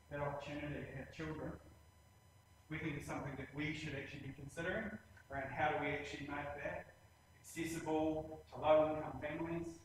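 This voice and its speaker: A man with a New Zealand accent giving a presentation on hospital plans, speaking plainly with many pauses and a habit of saying "actually". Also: a little high, far from the mic, a little hiss or hum. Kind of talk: presentation in a lecture series. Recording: very echoey room, voice from afar, faint ambience.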